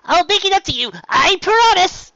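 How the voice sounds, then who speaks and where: An exasperated unicorn mare, acted by a man, raised high and pushed loud. She exclaims and whines excitedly, swinging widely in pitch, as a storybook character. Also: moderate pace, no echo, dull audio, exaggerated voice. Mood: apologetic, frantic.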